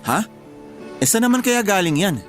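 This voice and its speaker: An actor playing a hot-headed, joking husband with a Filipino accent in animated, colloquial radio drama dialogue. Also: male voice, high voice, moderate pace, soft background music. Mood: playful, teasing. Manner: animated, colloquial, exasperated or teasing.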